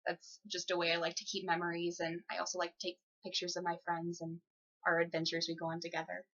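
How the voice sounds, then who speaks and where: A woman's voice: lowish voice, monotone, quick pace, fairly bright tone. A young adult woman giving conversational answers as a job applicant in a phone interview, with a polite, easygoing, giggly manner. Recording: dull lo-fi phone interview recording.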